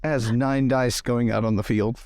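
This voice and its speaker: A man's voice: mid-range voice, steady tone, measured pace, darkish tone, dry recording, clean studio sound. A man playing a tabletop roleplaying game, moving between in-character talk and table chat with wordy, pedantic explanations.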